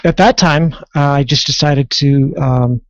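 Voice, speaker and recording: A man from California, a guest author, telling his story hesitantly, with lots of pauses and filler words. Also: on the high side, no room sound. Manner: hesitant, many fillers, halting. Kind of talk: radio interview. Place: radio studio. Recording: dry, dull-sounding radio studio audio.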